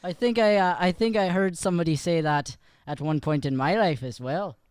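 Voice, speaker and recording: A man co-hosts in casual, conversational banter. His voice is clean and a touch high, caught close and dry on a podcast microphone. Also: normal speed, darkish tone, smooth, no room sound. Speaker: improv performer and co-host. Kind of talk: casual banter on a live show.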